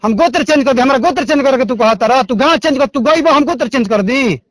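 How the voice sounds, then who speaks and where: High-pitched Bhojpuri-accented man, argumentative. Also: fast, no echo. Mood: aggrieved. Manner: argumentative, aggrieved, repetitive, coarse-mouthed. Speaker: caller. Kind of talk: confrontational phone call.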